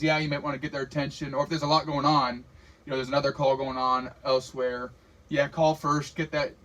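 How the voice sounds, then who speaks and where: A man's voice: medium pitch, a bit bright, rough recording. Casual American man giving practical training. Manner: casual, plain-spoken, explanatory, acts out example radio calls. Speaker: firefighter radio communications trainer. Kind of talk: informal training lecture.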